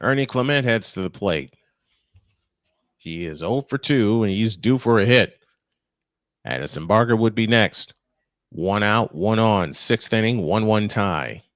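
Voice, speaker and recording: A man's voice: on the low side, lively intonation, unhurried, long pauses, dry recording, clean studio sound. A man calling live baseball play-by-play in punchy, clipped phrases, mixed with casual chat. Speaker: play-by-play announcer and host.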